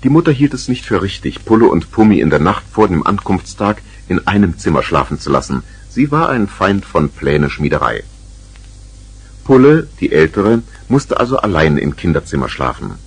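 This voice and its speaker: A man's voice: low voice, big pitch swings, moderate pace, breathy edge, dry recording. A man narrating a children's radio play from the 1970s in a scripted storytelling style.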